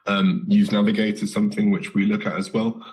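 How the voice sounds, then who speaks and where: A British man with a hoarse, slightly nasal voice from a recent flu, giving a live coding lesson with many ums and self-corrections. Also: on the low side, flat delivery, brisk, a little mellow, poor audio. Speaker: coding instructor.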